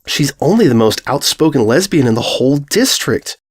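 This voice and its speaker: A man's voice: medium pitch, big pitch swings, moderate pace, husky, pitched above the performer's own voice. A man voicing a sulky, flustered young female pony, prickly, defensive and exasperated, in a storybook reading. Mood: sulky, grumbling.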